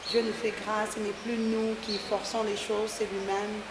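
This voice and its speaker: A middle-aged woman, interviewed as a gospel singer, speaking in a measured, devout way. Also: medium pitch, little pitch movement. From DR Congo. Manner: measured, devout, with some pauses. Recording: echoey room with background noise.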